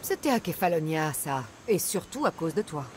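A woman's voice: sits low, very animated, normal speed, a little hiss or hum. A woman playing a tough, dry-witted young Greek mercenary in a video game, curt and sardonic.

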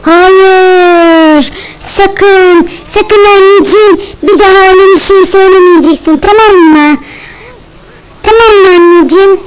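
A woman's voice: extremely high pitch, fairly even intonation, measured pace, low-quality recording. A woman voicing a fussy, doting spider mother in a children's cartoon, chattering and chiding with lots of exclamations. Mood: scolding.

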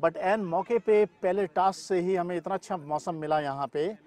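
A man's voice: high voice, normal speed, a little mellow. A middle-aged man answering interview questions outdoors, mixing in English terms. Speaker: paragliding pilot and event weather expert, interview guest. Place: open grassy field in the mountains. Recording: clear outdoor ambient noise.

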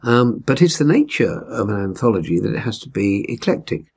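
Older man with a husky, lively voice. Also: lowish voice, brisk. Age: 70s. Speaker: story reader. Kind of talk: expressive storybook reading. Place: indoors in a wood-beamed room at home.

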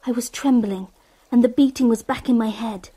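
An actress playing an anxious English girl narrating her summer in a radio drama, with hushed, intense, deliberate storytelling. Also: a little high, steady tone, slightly rough. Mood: shaken, hushed.

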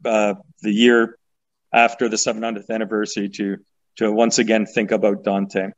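A man, a sculptor, talking in a halting, thoughtful way as a guest on a video call, often repeating words. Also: fairly low pitch, flat delivery, highs cut off. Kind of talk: casual interview over video call.

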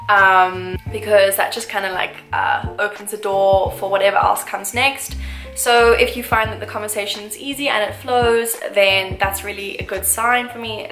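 A woman's voice: mid-range voice, fairly bright tone. A woman, chatting casually to camera in a get-to-know-me vlog, gushing and full of fillers. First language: English. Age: 20s. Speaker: vlogger. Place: small room indoors, seated in armchair. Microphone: camera mic, medium framing. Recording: roomy echo, faint music.

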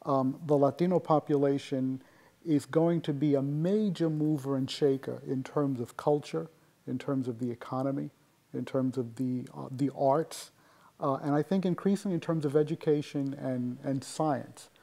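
An older man speaks from his book-lined office, measured and pausing now and then. His voice is mellow and dark, with a gravelly edge. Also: medium pitch, steady tone. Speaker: interviewee, educator. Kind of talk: interview. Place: office with bookshelves.

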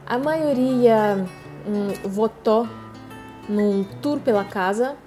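A woman in her thirties from Russia, speaking with a Russian accent in a chatty, animated vlog, talking to camera from her sofa at home. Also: mid-range voice, very slow, soft background music. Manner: chatty, animated, laughing asides. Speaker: vlogger. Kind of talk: casual home-tour vlog. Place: living room on a sofa, indoors.

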